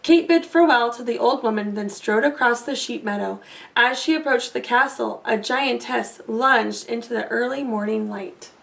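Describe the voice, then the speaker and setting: A woman reading a storybook aloud expressively for children, pausing to put questions to listeners. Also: a little high, vocal fry, fairly bright tone, far from the mic, low-quality recording. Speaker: storybook reader.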